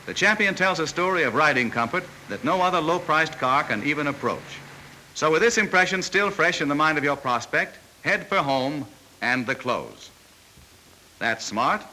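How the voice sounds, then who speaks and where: Folksy mid-century training narrator: knowing, wry, coaching salesmen, highish and very sharp. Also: male voice, expressive, moderate pace, slight background noise, rough recording. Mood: instructive.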